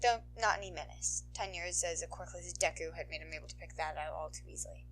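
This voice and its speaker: A woman's voice: lowish voice, fast talker, fairly bright tone, a little hiss or hum. Woman narrating a story expressively. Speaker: audiobook narrator. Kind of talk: expressive scripted narration of fan fiction.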